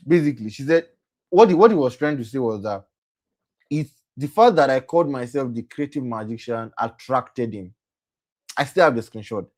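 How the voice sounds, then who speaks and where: A young man leads a motivational talk for young people at a mid-range pitch. He circles back over his points, corrects himself mid-sentence, and keeps asking his listeners "right?". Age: young adult. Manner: repetitive, self-correcting, often asks "right?". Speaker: program host and speaker.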